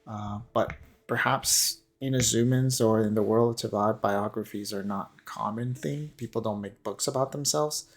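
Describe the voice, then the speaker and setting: A man giving casual analytical commentary on a video, hesitant and halting as he thinks aloud. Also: on the low side. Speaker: commentator on a game character trailer.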